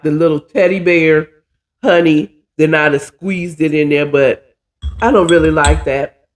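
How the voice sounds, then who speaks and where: A hoarse, stuffy-nosed man hosting a casual chat while cooking, drawling and calling his listeners "child". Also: a little high, a little hiss or hum. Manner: chatty, drawling, says "child" a lot. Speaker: podcast host.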